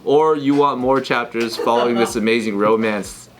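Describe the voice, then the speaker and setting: A man's voice: medium pitch, steady tone, normal speed, very noisy. A man hosting a group show in casual, joking, profane chat with friends. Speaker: show host. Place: group talk show studio.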